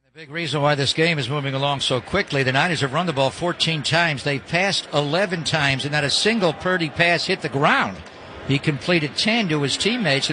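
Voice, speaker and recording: An American man calling a football game live as a radio play-by-play announcer. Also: a little high. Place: broadcast booth at a stadium. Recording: live broadcast over clear stadium noise.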